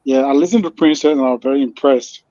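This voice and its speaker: A man who works as a nurse calling in to a radio show, speaking in a measured way and repeating words as he builds his point. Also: mid-range voice, dark tone, no echo, dull audio. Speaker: caller, nurse. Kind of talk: call-in radio talk.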